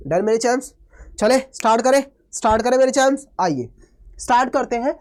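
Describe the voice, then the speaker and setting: A man teaching an online maths lesson, hoarse from a cold, energetic, mixing Hindi with English phrases and constantly checking in with students. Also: high-pitched. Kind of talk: online exam-prep lesson.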